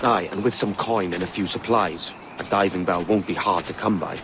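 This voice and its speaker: An actor playing a roguish, level-headed young pirate captain in a game, speaking in an easygoing, persuasive way. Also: male voice, deep voice, moderate pace, noisy background, natural voice. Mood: matter-of-fact. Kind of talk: video game cutscene acting.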